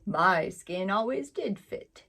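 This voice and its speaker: A woman voicing a sleek, vain male tiger in a storybook, speaking slowly and slyly. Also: medium pitch, animated, sharp tone, heavily acted. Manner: smooth, slow, sly.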